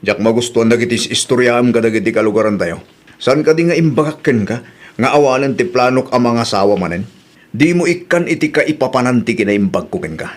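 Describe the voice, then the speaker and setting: A man with an Ilocano accent voicing a patient widower who speaks calmly and earnestly in an audio drama. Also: mid-range voice, brisk, lightly acted.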